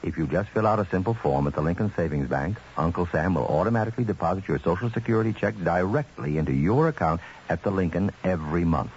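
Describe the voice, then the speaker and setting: A man's voice: deep voice, expressive, fast talker, hardly any pauses, darkish tone. A man reading a scripted, folksy radio commercial as an announcer. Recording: muffled old radio, faint hiss.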